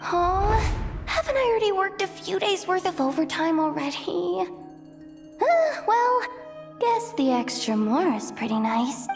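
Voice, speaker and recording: A hardworking young courier girl in a video game, eager to please. Her voice is very high and swoops freely, at an unhurried pace, over faint music. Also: big pitch swings, heavily acted. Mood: cheerful. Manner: hesitant stammering, eager to please.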